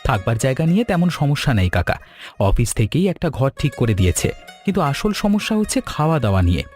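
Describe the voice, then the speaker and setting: A man playing a polite young office worker new in town, in an animated storybook cartoon, speaking a little plaintively. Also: medium pitch, fast talker, close to an everyday voice. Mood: troubled, asking. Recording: music bed under the voice.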